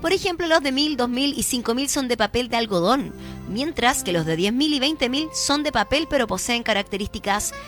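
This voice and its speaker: High-voiced chatty Chilean woman presenter. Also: lively intonation, brisk, a bit bright, faint music behind. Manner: playful, talks straight to the listener with lots of questions. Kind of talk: chatty studio segment.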